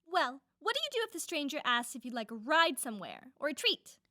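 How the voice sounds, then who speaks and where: A woman's voice: high-pitched, sing-song, brisk, sharp tone, no echo, clean studio sound, voice pushed down, heavily acted. A woman voicing a caring, patient mother coaching her young child in a children's fairy tale.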